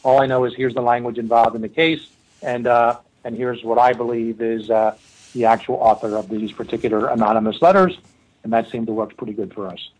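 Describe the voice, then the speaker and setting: A man's voice: medium pitch, fairly even intonation, brisk, a little mellow, poor audio. Middle-aged Philly-accented man, loose rambling guest storyteller. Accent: Philadelphia. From Philadelphia. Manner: rambling, many fillers and restarts. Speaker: forensic linguist guest. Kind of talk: casual interview storytelling.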